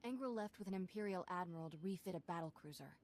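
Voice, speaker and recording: A woman's voice: lowish voice, lively intonation, fast talker, darkish tone, natural voice. A woman playing a wry young Jedi apprentice. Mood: urgent.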